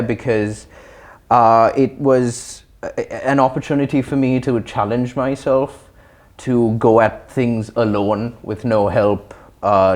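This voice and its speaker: A young man from Sri Lanka answering interview questions in a thoughtful, articulate, measured way. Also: mid-range voice, darkish tone. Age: young adult. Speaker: interview guest. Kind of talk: reflective interview talk.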